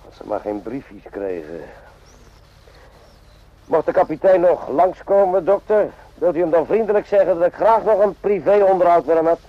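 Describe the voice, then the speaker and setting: An actor playing a cynical, world-weary army sergeant in a radio drama, offhand and sarcastic, in short clipped phrases. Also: male voice, high-pitched, expressive, moderate pace. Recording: muffled lo-fi old radio recording.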